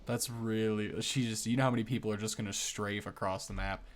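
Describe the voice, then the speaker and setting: A man's voice: lowish voice, steady tone, fairly quick, slight background noise. A man hosting a gaming video, giving casual, easily impressed first-look commentary that rambles and corrects itself.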